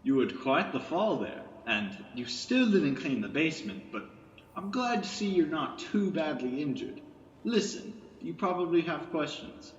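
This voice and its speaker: A man's voice: a little high, big pitch swings, a little slow, slight background noise. A man playing a concerned, mildly scolding guardian in a radio drama, recorded with a dull, roomy sound. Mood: concerned, serious. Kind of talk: scripted radio drama acting. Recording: dull, roomy, echoing pickup.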